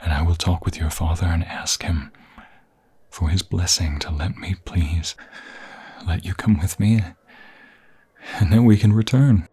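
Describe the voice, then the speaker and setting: A man playing a lovestruck, pleading vampire fiancé in an intimate romantic ASMR roleplay, breathy and husky, often slipping into whispers, with halting emotional delivery. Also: low voice, mellow, exaggerated voice.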